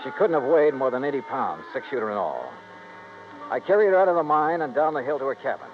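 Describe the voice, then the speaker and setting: An actor playing a wry, polite American insurance investigator in a 1950s radio detective drama. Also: male voice, medium pitch, lively intonation, fast, over music, lightly acted. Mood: narrating, matter-of-fact. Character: wry, gentlemanly freelance insurance investigator hero. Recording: muffled, hissy vintage radio recording.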